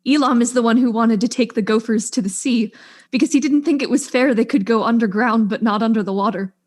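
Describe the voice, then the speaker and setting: A woman playing a proud, composed widowed scientist in a mystery drama, speaking in a measured, earnest way. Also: a little high, darkish tone, natural voice. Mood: wistful pride. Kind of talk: acted mystery audio drama.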